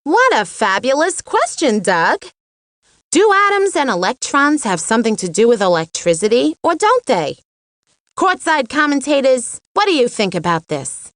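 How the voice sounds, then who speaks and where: A woman voicing a gushing courtside television presenter in an animated cartoon. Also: sits high, normal speed, dry recording, clean studio sound. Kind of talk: animated parody of broadcast presenting.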